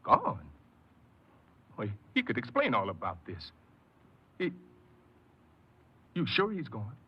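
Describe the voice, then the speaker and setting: An actor playing an earnest, troubled confessed forger in a 1950s radio drama, hesitant and pleading, repeating himself. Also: male voice, mid-range voice, huge pitch swings, takes it slowly, husky, heavily acted. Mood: bewildered, hurt. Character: earnest, troubled male forger confessing his crime, desperate and bewildered. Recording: muffled, noisy 1950s radio recording.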